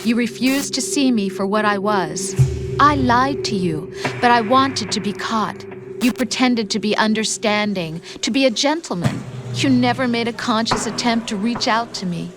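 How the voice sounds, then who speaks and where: An actress playing a cruel artificial intelligence posing as a scornful young girlfriend in a video game, speaking with deliberate reproach. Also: fairly high pitch, over music, close to an everyday voice. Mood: bitter, reproachful. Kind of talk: dramatic game cutscene acting.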